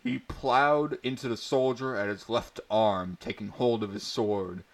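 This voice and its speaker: A man narrates a fantasy tale in a dramatic reading style. His voice sits fairly low and he takes his time over each phrase. The delivery is theatrical, with a mock-serious gravity. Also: unhurried. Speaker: story reader, narrator.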